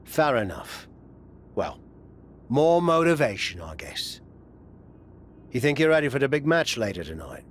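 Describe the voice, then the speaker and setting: A man's voice: mid-range voice, big pitch swings, unhurried, pauses often, a little hiss or hum. An actor playing a cautioning, wry male advisor to a wrestler in a video game cutscene. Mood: wry, pleased.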